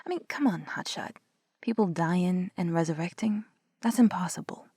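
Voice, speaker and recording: A young woman with a low, gravelly voice talks close to the mic in a dry, dead room. She plays a skeptical investigator who argues and scoffs with casual swearing. Now and then she drops to a near whisper. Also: normal speed, mellow, no room sound. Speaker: audio roleplay performer as a skeptical investigator. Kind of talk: scripted one-sided audio roleplay.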